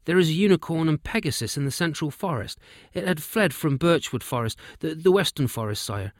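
A man's voice: on the high side, lively intonation, brisk, dry recording, studio quality, pitched above the performer's own voice, lightly acted. Eager bowing messenger guy, bit breathless, man doing it, stumbles a little. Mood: urgent. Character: breathless humble male messenger.

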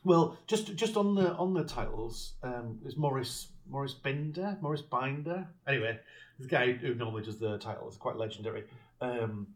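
A man's voice: medium pitch, animated, moderate pace. A man co-hosting a podcast, giving blunt opinions on a film with lots of hesitant ums. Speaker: podcast co-host. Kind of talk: casual film-review chat.

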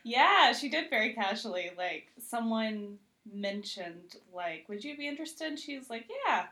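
Bright, higher-voiced woman TV critic. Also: expressive, normal speed. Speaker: TV critic, podcast guest. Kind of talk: casual chat.

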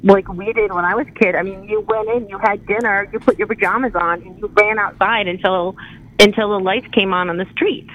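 A woman's voice: medium pitch, fairly even intonation, brisk, narrow, tinny audio. A middle-aged woman calling in to a talk show, chatting casually. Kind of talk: casual conversation.

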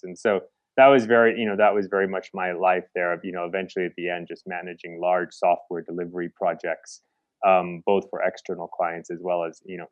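A man from the Chicago area, a conservation founder answering interview questions in a halting way, with many restarts. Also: low voice, no echo, lo-fi sound. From Chicago, United States.

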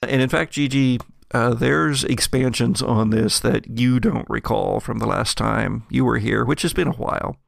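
A man running a tabletop game as game master, improvising descriptive narration in a halting, deliberate way. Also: mid-range voice, vocal fry, dry recording, studio quality.

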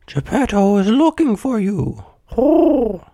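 A man's voice: high voice, very animated, slow pace, warm tone, pitched above the performer's own voice, exaggerated voice. A man voicing a helpful storybook pigeon in short calls punctuated with hoots. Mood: helpful, calling. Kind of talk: children's storybook character voice.

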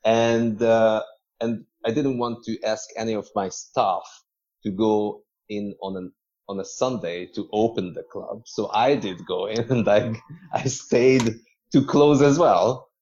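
Male podcast guest, lowish pitch, measured conversational storyteller with hesitations, muffled lo-fi audio. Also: steady tone, a little mellow. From Hungary. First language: Hungarian.

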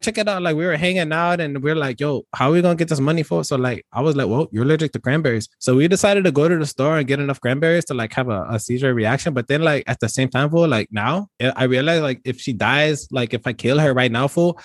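A man's voice: fairly high pitch, sing-song, brisk, a bit bright, dry recording. A man playing a pushy, slangy young hustler in an improv comedy sketch, calling everyone fool. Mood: scheming. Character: brash streetwise young man scheming over cranberries. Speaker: improv performer.

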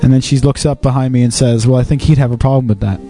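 A man's voice: mid-range voice, fairly even intonation, fast talker, warm tone, soft background music. A man with a Canadian accent telling a story in casual, teasing banter, laughing often.

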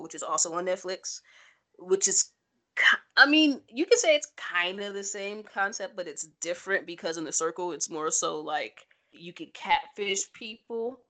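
A woman co-host chatting casually about sports. Her voice sits a little low and has a bright, forceful edge. She comes across as playful and ready to tease.

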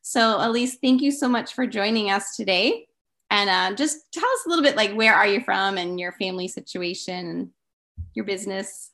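A woman's voice: medium pitch, moderate pace, a bit bright. A woman hosting her own podcast, upbeat and enthusiastic as she interviews a guest. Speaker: podcast host and declutter coach.

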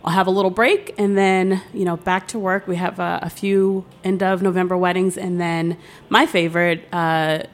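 Friendly woman guest, low voice. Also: flat delivery, normal speed. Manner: friendly, rambling with fillers. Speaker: wedding planner guest. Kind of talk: casual interview talk. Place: hotel studio.

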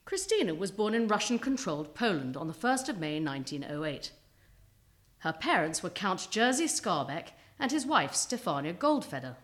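A woman narrating a scripted historical story as a storyteller, with a dramatic, suspenseful delivery. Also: lowish voice.

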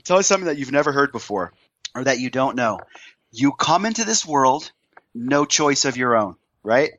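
Hoarse man telling stories in a joking way. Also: mid-range voice, normal speed, no room sound, dull audio. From Iowa. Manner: animated, joking storyteller. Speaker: podcast guest. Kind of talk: casual chat.

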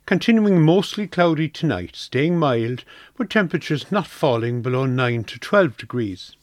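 A man's voice: fairly high pitch, expressive, moderate pace, dry recording. A man with an Irish accent reading a scripted weather forecast on community radio.

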